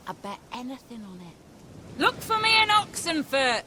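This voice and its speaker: A sharp, forceful female voice. It is a cocky, coarse fantasy game swordswoman, mocking and pushy. Faint noise sits behind her. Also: high voice, very animated, unhurried, heavily acted. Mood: cocky, commanding. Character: brash, pushy sword-fighting ruffian woman, mocking and vengeful. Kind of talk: video game voice acting.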